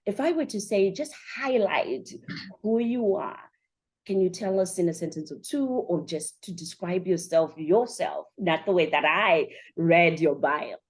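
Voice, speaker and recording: Enthusiastic lower-pitched woman hosting a show. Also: animated, unhurried. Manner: enthusiastic, chatty, addresses listeners directly. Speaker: show host and conference organiser. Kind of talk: upbeat podcast interview hosting.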